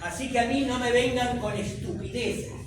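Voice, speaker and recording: A man's voice: extremely high pitch, moderate pace. A man whose first language is French, giving a conversational public talk to an audience and asking them rhetorical questions. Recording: echoey room from far off, faint noise.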